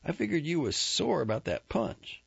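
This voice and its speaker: A man's voice: a little high, sing-song, normal speed, vocal fry, slightly rough, no room sound, pitched above the performer's own voice, heavily acted. A man reading aloud and voicing a terse, awkward young ranch hand who speaks in a clipped, plain country way. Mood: agitated. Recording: dry, slightly muffled recording.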